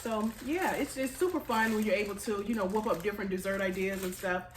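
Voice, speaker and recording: A woman's voice: mid-range voice, a little fast. An American woman, chatty and informal with a congested nose, hosting a casual baking tutorial for her viewers. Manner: chatty, informal, addresses viewers as "you guys". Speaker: home baker and cooking channel host. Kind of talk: casual cooking tutorial chat. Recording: echoey room with faint background noise.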